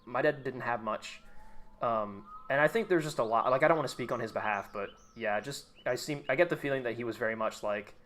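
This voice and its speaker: A man's voice: medium pitch, brisk, fairly bright tone, a little hiss or hum. A young American man streaming a game, chatting casually and rambling with lots of fillers and restarts. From United States. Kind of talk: casual chat while gaming.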